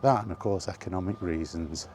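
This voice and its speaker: A man's voice: low voice, brisk, darkish tone, a little hiss or hum. A man rambling casually in a weary, grumbling way, as in a freewheeling solo vlog. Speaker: vlogger. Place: walking while talking, ambient noise behind.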